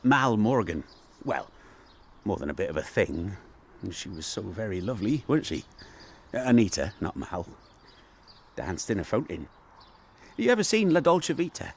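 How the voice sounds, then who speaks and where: An actor playing a warm, nostalgic older British man telling stories in a chatty, wistful way in a naturalistic radio drama. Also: lowish voice, sing-song, moderate pace, long pauses, slight background noise. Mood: amused, wistful. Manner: chatty, chuckling, wistful reminiscing.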